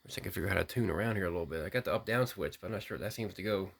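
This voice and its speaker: A man giving an unscripted hands-on product demonstration, rambling technically and thinking out loud as he hosts a hobby video. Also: sits low, very fast, creaky, husky. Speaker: radio hobbyist video host.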